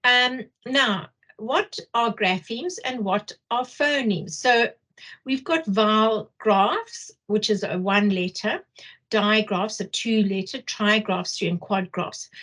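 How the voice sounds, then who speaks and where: Zimbabwean woman teaching a workshop, takes it really slow, kinda halting, repeats stuff. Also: medium pitch, lively intonation, fairly bright tone. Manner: halting, repeats phrases for emphasis. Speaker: teacher trainer leading a workshop. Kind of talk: explanatory lecture.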